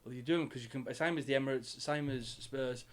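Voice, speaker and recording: Fast-talking sweary young man. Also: mid-range voice. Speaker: podcast co-host. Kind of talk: casual chat.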